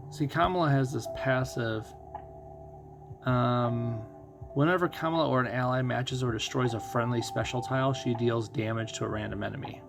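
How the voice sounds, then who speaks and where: A man's voice: mid-range voice, gravelly. A man doing casual live commentary as a game streamer, explaining things conversationally and thinking aloud.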